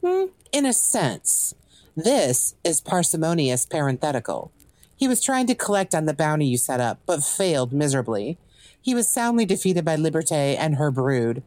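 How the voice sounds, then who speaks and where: A woman's voice: deep voice, sing-song, moderate pace, darkish tone, dry recording. A woman acting in an audio drama as a cocky legendary assassin and thief for hire, with smug, theatrical, drawling taunts. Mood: playful, boastful.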